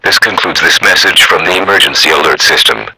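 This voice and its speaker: A robotic, gravelly male voice reading scripted emergency broadcast announcements in a formal, flat manner. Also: low voice, a little fast, dry recording, rough recording.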